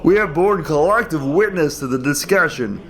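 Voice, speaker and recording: A man's voice: a little high, lively intonation, normal speed, a bit bright. A man giving a dramatic reading of a manifesto over choral music. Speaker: reader. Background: dramatic orchestral choral music.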